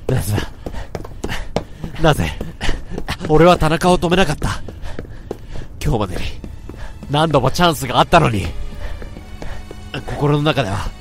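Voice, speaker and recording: A man's voice: a little high, very animated, unhurried, long pauses, soft background music. An actor playing a tense office worker who narrates his own inner thoughts in a radio drama. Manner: tense, brooding, rising to urgent shouts. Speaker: narrator and protagonist.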